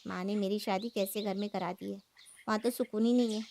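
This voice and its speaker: A woman's voice: medium pitch, fairly even intonation, fairly quick, vocal fry, a little mellow, slight background noise, lightly acted. A woman voicing a jealous, sulky young married woman in a cartoon moral story, complaining and argumentative. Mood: envious grumbling.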